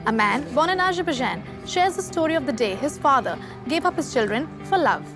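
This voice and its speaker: A woman's voice: high voice, sing-song, fairly quick. A woman presenting a TV magazine show, reading scripted narration. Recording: background music under voice.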